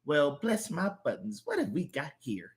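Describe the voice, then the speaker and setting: A man's voice: a little high, huge pitch swings, moderate pace, pitched above the performer's own voice. A man voicing an eccentric old country grandmother in a comic story, homely, doting and tough. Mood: sweet, unbudging.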